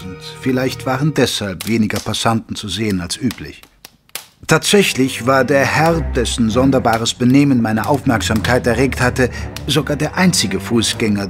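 A male actor plays a gentlemanly companion to a detective, telling the story of an audio drama. His mid-pitched voice moves in measured, literary phrases. Music plays behind him. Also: natural voice. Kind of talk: scripted narration in an audio drama.

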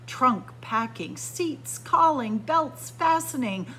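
Woman reading slowly, expressively to young children. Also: sits high, a little hiss or hum. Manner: expressive sing-song delivery with drawn-out, emphasized words. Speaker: children's librarian and storyteller. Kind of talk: picture book read-aloud for young children. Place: library room with bookshelves, indoors.

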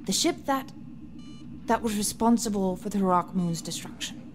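A woman playing a composed, earnest noble rebel leader who speaks formally and gravely in a science fiction audio drama. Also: medium pitch, moderate pace, noisy background, lightly acted. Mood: grave briefing.

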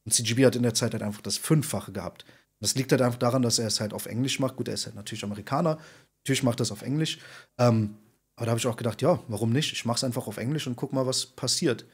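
A man in his thirties, a podcast guest, talking in an informal, slangy, emphatic way with a husky voice. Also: mid-range voice, fast. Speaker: podcast guest, content creator and streamer. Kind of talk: casual interview chat. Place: indoor room, video call.

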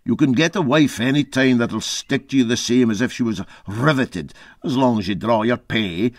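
A man voicing a garrulous, boastful old sea captain with a Scottish Highland accent in a storybook reading. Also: normal speed, no room sound, close to an everyday voice. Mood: cocky. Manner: garrulous, folksy, emphatic.

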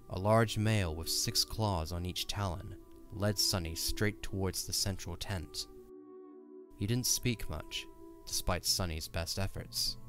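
A man narrating a scripted story for an audio drama. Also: sits low. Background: music.

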